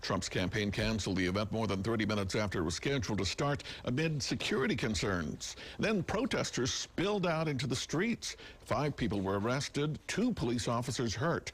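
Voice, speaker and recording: Husky-voiced American male news anchor. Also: mid-range voice. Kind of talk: broadcast news reading with light co-anchor banter.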